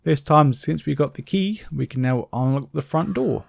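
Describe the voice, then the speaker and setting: A man's voice: on the high side, expressive, normal speed, creaky, no room sound, studio quality. A man giving casual gameplay commentary, explaining things step by step in an informal way. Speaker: game walkthrough commentator.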